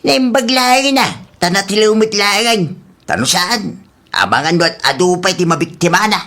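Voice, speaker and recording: A woman's voice: medium pitch, lively intonation, moderate pace, fairly bright tone. A woman playing a blunt, disapproving gossipy husband in a comedy audio drama.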